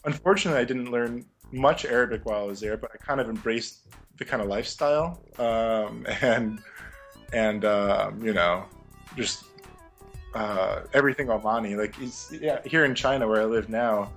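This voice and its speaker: Reflective young man with frequent fillers. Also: fairly low pitch. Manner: reflective, many fillers and restarts, laughs lightly. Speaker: interview guest, former music teacher. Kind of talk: casual conversational interview.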